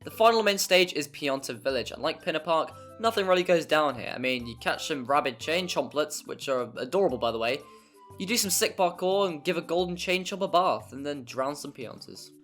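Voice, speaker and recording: A narrator delivers a scripted game review in a mid-pitched voice. The delivery is wry and prone to exaggeration, with some mild swearing, and music plays behind. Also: lively intonation, a little fast, a bit bright. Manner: wry, mildly sweary, hyperbolic. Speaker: video essay narrator. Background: background music.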